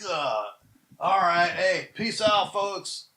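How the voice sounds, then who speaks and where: A man's voice: high-pitched, expressive, slow pace, echoey room, a crowd in the background, pitched above the performer's own voice. A man voicing a loyal, laid-back stoner dude who drawls slacker slang in an improvised comedy bit. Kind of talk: improvised comedy character chat.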